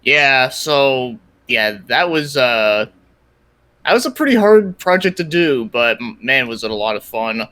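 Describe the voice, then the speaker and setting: A man's voice: medium pitch, sing-song, a little slow, sharp tone, no room sound. A man chatting casually as a podcast guest, rambling with lots of "like" and some swearing. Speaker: guest, creator of a fan parody series.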